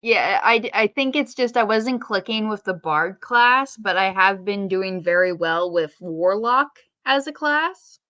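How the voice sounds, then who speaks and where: A woman's voice: mid-range voice, a little slow, hardly any pauses, sharp tone, dry recording, muffled recording. A woman co-hosting a casual podcast, chatty and opinionated, with wry, rambling asides. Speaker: podcast co-host.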